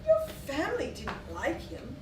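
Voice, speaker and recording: An actress on stage playing a meddling, malicious married gossip with an arch, insinuating manner. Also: female voice, high voice, huge pitch swings, measured pace, full character voice. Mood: sceptical. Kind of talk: stage acting in a one-act play. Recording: echoey, noisy stage recording from far off.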